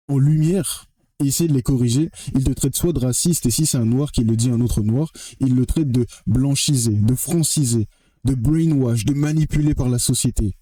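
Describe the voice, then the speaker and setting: A young man from French Guiana speaking straight to the camera in a blunt, heated monologue. His voice sits at an ordinary pitch with a dark, mellow tone. The sound is close and dry, and he keeps repeating phrases for emphasis and throwing in curses. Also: no echo. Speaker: vlogger.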